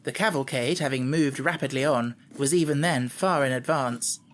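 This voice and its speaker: A man's voice: mid-range voice, normal speed, a bit bright, a little hiss or hum. A man narrating a children's story as a scripted audiobook reading.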